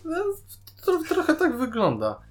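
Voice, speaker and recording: A man's voice: extremely high pitch, wildly swooping pitch, a little slow, soft background music. A man co-hosting a casual podcast discussion, giving opinionated, critical commentary. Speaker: podcast co-host.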